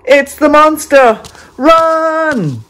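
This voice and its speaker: Storybook narrator, medium-pitched, very slow and suspenseful, with big dramatic swings for young listeners. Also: sing-song, fairly bright tone. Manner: dramatic, suspenseful, deliberate phrasing. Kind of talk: expressive children's read-aloud storytelling.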